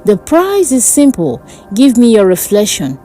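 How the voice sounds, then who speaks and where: A woman voicing an eerie, mocking sorceress who sells dreams in a dark folk tale, purring and cryptic. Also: mid-range voice, wildly swooping pitch, faint music behind. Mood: bored, curt. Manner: purring, slow, bored, cryptic.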